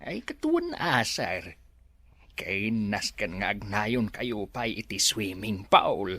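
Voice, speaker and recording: An actor in a radio drama playing a deferential, hesitant older male helper, eager to please in a halting singsong. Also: fairly low pitch, sing-song, pushed and bright, full character voice. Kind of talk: Ilocano radio drama acting.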